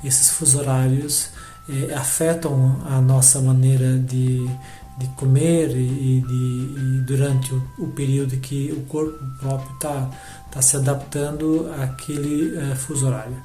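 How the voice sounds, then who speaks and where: Halting, mellow-voiced Brazilian man. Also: mid-range voice, level tone. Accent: Brazilian Portuguese with some European Portuguese. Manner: halting, many pauses and repeated words. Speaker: emigrant telling his story. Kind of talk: reflective personal testimony. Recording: echoey room with faint music.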